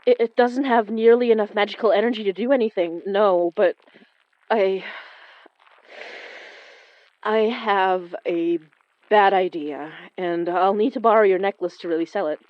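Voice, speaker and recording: A woman acting as a young magic-wielder in a horror audio drama, protective and strained. She stammers and hesitates in a mid-pitched voice, recorded dry and slightly muffled. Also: fairly even intonation, dry recording, close to an everyday voice. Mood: anxious, hurried. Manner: halting, stammering under pressure.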